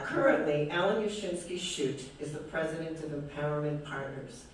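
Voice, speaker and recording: A man with an American Midwest accent reads a formal introduction of a guest speaker with enthusiasm. His voice sits fairly high for a man. The recording is distant and very echoey, from a large hall, with faint noise. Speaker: event host introducing the author. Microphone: distant room mic.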